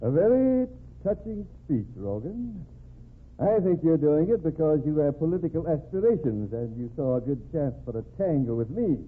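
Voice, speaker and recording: A pompous, defensive governor in a vintage radio play. He speechifies in a husky voice with a swooping, animated delivery, heard through a narrow, tinny old recording. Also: on the high side, normal speed. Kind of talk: old-time radio drama acting.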